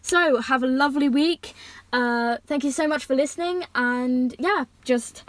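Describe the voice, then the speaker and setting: A woman's voice: sits high, a little slow, dry recording. A young woman reading aloud and chatting casually in an informal way, sometimes stumbling and correcting herself. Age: teenager or young adult, still at school. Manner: informal, stumbles and self-corrects over words. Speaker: amateur audiobook reader, channel host.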